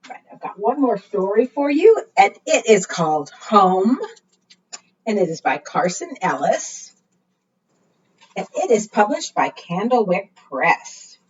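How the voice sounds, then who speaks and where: A woman's voice: mid-range voice, sing-song, takes it slowly, slightly rough. A woman reading aloud to young children as a storytime leader, in a sing-song way, often putting questions to her listeners. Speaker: librarian leading preschool storytime. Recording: muffled, distant microphone.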